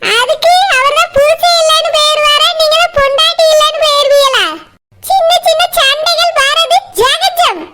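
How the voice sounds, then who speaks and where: Squeaky cartoon fishmonger woman, Kanyakumari Tamil accent, dramatic peacemaker, extremely high pitch, noisy soundtrack. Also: steady tone, moderate pace. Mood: pleading. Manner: scolding, pleading, exclamatory. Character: pleading, meddlesome woman fish seller, dramatic peacemaker. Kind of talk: comic cartoon dialogue.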